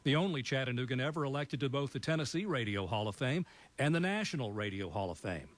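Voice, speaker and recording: A man's voice: medium pitch, animated, no echo. Man anchoring a TV news tribute. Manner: warm, measured tribute delivery. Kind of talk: broadcast news narration.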